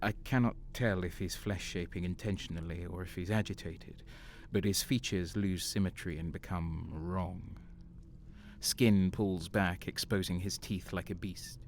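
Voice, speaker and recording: A man playing a defiant, embittered renegade soldier in a dark sci-fi audio drama, brooding and intense. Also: deep voice, steady tone, unhurried, slight background noise. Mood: wary, grim.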